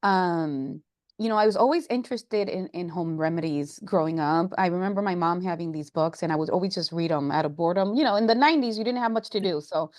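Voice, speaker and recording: A woman's voice: fairly low pitch, fast, a little mellow, dry recording. Woman from Puerto Rico, very dry sound. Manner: chatty, many fillers like "you know" and "um". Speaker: guest, health coach and brand founder. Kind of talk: casual interview.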